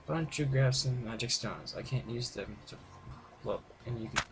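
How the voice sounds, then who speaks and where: A man's voice: mid-range voice, normal speed. A man hosting a gaming video, reading the characters' dialogue aloud in a playful, informal way with some stumbles. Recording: very noisy gameplay recording with clicks.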